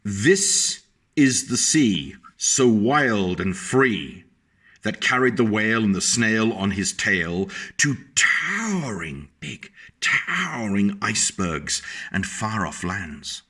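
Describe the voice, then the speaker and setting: A man with a fairly low voice reads a picture book to young children, taking his time over every line. He speaks gently and stops often to show and explain the pictures. His delivery is unhurried and patient. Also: sing-song, slightly rough. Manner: slow, gentle, pauses to point out pictures. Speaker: teacher reading a picture book. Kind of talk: children's storybook reading.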